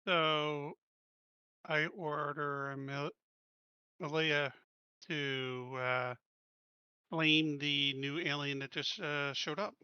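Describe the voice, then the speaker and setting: Bright-voiced player, hesitant. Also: male voice, on the high side, fairly even intonation, clear, resonant tone, no echo, muffled recording. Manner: hesitant, pausing to ask about rules. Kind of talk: casual play at a tabletop game.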